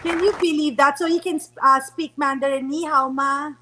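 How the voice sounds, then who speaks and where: A woman's voice: high voice, steady tone, moderate pace, bright, forceful tone, a faint crowd. A woman from the Philippines, hosting a casual interview in an effusive, affirming way. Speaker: interviewer, host.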